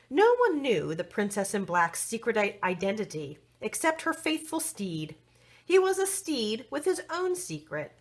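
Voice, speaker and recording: Expressive woman reading a children's storybook. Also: medium pitch. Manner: expressive, deliberate storytelling delivery. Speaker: story reader. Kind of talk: read-aloud children's storybook narration.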